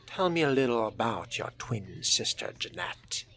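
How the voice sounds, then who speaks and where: A man voicing a brooding, scholarly young vampire in a measured, formal, musing manner for a video game roleplay. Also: medium pitch, sing-song, gravelly, pushed and bright, faint music behind, full character voice. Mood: dry curiosity. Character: brooding, scholarly male vampire fledgling, dryly curious. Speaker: player narrating in character.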